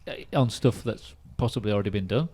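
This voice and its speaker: A man's voice: on the low side, fast talker, dark tone, breathy edge. A man hosting a podcast, chatting casually about things in an informal, conversational way. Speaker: podcast host. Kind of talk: casual chat.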